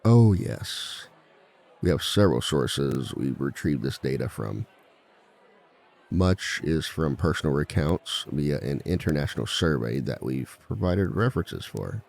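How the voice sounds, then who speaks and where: Guy doing a smooth villain giving a seminar, super deep voice, formal n wry, crowd murmuring behind him. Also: darkish tone, full character voice. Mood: playful, wry. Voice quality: very deep, gravelly. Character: suave reformed male villain giving a seminar on romance. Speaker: seminar speaker. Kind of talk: scripted seminar lecture roleplay, audio drama.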